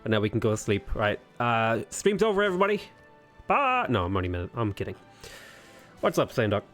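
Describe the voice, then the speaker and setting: A man's voice: fairly low pitch, big pitch swings, brisk, a bit bright, faint music behind. A man in his twenties on a game livestream, casually chatting and joking while he reads viewer comments aloud. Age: 20s. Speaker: streamer.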